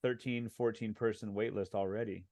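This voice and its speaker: A man's voice: fairly low pitch, fairly even intonation, moderate pace, a little mellow. A man hosting a podcast, asking long, rambling questions full of fillers in a casual interview. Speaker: podcast host.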